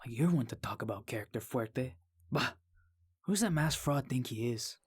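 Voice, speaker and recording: Man acting a cocky, rough-voiced young wrestler. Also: mid-range voice, animated, normal speed. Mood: bitter. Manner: cocky, taunting, sneering. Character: brash, prickly young male rookie rudo luchador. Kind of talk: audio drama acting.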